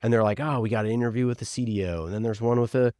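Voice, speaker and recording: A man's voice: mid-range voice, steady tone, racing, darkish tone, no room sound, studio quality. A man giving conversational marketing advice as an interview guest, informal, opinionated and emphatic, often voicing imagined quotes. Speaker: podcast producer, interview guest.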